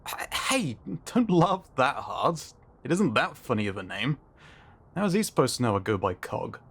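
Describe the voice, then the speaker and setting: A man's voice: mid-range voice, sing-song, normal speed, gravelly, a bit bright, breathy edge, full character voice. A man playing an easily spooked, wry friend in a scripted sci-fi roleplay, talking in a hesitant, casual, dryly joking way. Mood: flustered.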